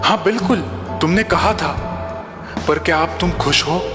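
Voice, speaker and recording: A man's voice: high voice, moderate pace, lightly acted. A man playing a meek, anxious fisherman husband who pleads hesitantly and dramatically in a children's cartoon. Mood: worried, disbelieving. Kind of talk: children's cartoon dub acting. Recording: echoey long reverb, music behind.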